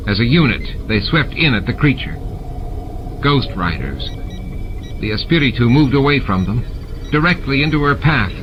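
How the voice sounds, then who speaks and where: A man's voice: mid-range voice, big pitch swings, normal speed, long pauses, highs cut off, background noise. A man reading a science-fiction novel as dramatic scripted narration, with sound effects added. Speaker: audiobook narrator. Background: music and sound effects.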